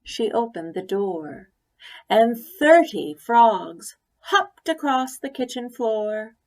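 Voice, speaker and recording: Slow-paced woman reading a picture book. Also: fairly high pitch, big pitch swings, a little mellow. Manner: deliberate, with pauses for emphasis. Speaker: story reader. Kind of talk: expressive picture-book read-aloud.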